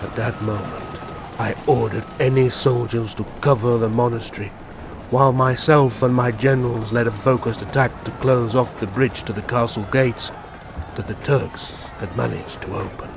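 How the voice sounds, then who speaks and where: A man playing a grave vampire prince, solemnly recounting his past in a formal, measured audio drama style. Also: mid-range voice, fairly even intonation, gravelly, background noise, lightly acted. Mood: solemn, steady. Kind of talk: dramatic first-person audio drama narration.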